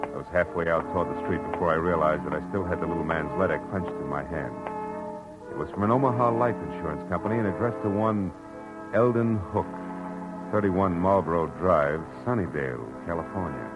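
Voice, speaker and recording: An actor playing a wry, tough private detective in a 1940s radio drama, terse and clipped. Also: male voice, deep voice, brisk, lightly acted. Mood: wry narration. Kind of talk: 1940s radio acting, first-person noir narration. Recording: dull old radio recording, music behind.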